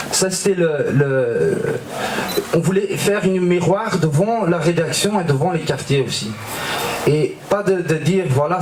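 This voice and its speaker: A man whose first language is Dutch, with a Flemish Belgian accent, a filmmaker talking slowly in an interview and often searching for his words. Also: a little high, reverberant, noisy background. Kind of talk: casual interview.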